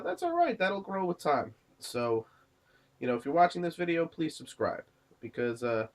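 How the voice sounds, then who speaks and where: Sick man coughing through a casual review. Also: medium pitch. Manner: rambling, many fillers and restarts. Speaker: movie reviewer, channel host. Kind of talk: casual spoken movie review.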